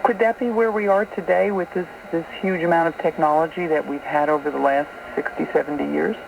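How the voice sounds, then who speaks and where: Creaky woman host on noisy shortwave. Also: deep voice, fairly even intonation, brisk. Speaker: radio host. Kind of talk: radio interview questioning. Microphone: radio broadcast, taped off air. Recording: distant, noisy shortwave radio broadcast on cassette.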